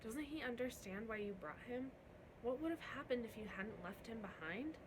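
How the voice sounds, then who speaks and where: A woman voicing a young servant girl in a storybook read-aloud, soft, hesitant, earnest and worried. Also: fairly high pitch, expressive, moderate pace, background noise, pitched above the performer's own voice, close to an everyday voice. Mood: earnest, regretful.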